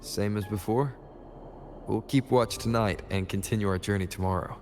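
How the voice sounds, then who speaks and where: Guy as a chill young adventurer in a video game, low pitched, thoughtful n measured, music in back. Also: natural voice. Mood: calm, thoughtful. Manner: calm, measured.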